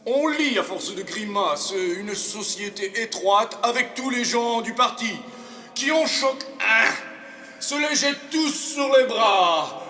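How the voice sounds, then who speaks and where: In a reverberant hall, a man acts out a swaggering aristocratic libertine from classical stage drama. His voice sits high for a male and rings out bright and forceful. He declaims with grand theatrical flourish, cynical and sure of himself, moving between loud boasts and hushed asides. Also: expressive, slow pace, faint music behind, exaggerated voice. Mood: cynical, scornful. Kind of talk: classical French theatre monologue.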